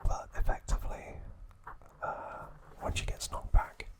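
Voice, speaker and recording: Man, ASMR host, hushed whisper, slow deliberate delivery, soft trivia narration.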